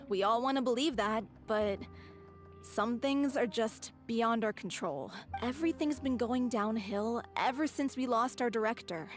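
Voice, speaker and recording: A devoted troupe performer, voiced by a woman, speaks gently and with measured wistfulness in a video game. Her voice sits fairly high. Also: faint music behind, natural voice. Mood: wistful.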